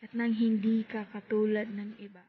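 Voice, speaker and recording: A woman with a Filipino accent performing heartfelt spoken-word poetry in short, deliberate phrases with pauses. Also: mid-range voice, little pitch movement, breathy edge. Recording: tinny phone-like sound.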